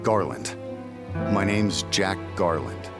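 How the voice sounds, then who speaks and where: A man playing a brooding, gruff wandering warrior with a gravelly voice and terse delivery in a video game cutscene. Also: deep voice, animated, takes it slowly, loud music behind the voice, heavily acted. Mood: guarded, subdued.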